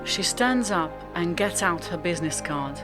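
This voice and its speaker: A woman's voice: lowish voice, expressive, normal speed, music in the background. A woman narrating a scripted radio drama as its storyteller.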